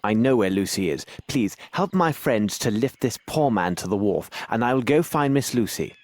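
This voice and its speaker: A man acts out an aloof detective for a video game. His voice sits fairly low and moves with animated intonation. He speaks formally and commands everyone around him. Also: normal speed, natural voice. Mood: composed, courteous. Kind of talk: video game cutscene acting.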